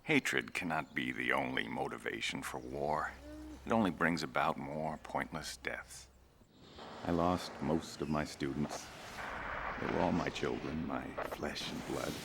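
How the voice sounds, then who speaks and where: An older, weary former flight teacher mourns in a solemn game monologue. His voice is extremely deep and thick with gravel, resigned in tone, over faint background ambience. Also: unhurried, background noise, exaggerated voice. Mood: sorrowful, resigned. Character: weary grieving veteran male flight instructor.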